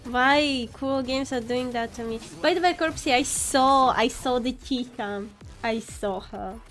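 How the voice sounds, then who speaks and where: A woman's voice: high-pitched, a little slow, fairly bright tone. A woman streaming a game, reacting casually with exclamatory asides. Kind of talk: casual live gameplay reaction. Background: faint game music.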